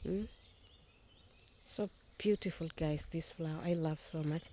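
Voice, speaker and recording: A woman's voice: sits low, fairly even intonation, measured pace, dark tone, slight background noise. A woman whose first language is Swahili, chatting casually in a vlog as she films her garden outdoors on a phone, often restarting her phrases. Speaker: vlogger. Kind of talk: casual walk-and-talk vlog.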